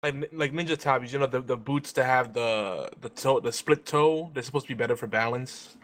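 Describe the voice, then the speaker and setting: A man chatting casually as a podcast co-host, explaining things in a loose, halting way. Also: mid-range voice, a bit bright. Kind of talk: casual chat. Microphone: online voice chat mic.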